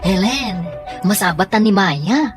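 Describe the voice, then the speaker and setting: Woman acting a nosy, lively, slow-talking friend. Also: mid-range voice, soft background music, close to an everyday voice. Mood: curious, concerned. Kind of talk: Ilocano audio drama acting.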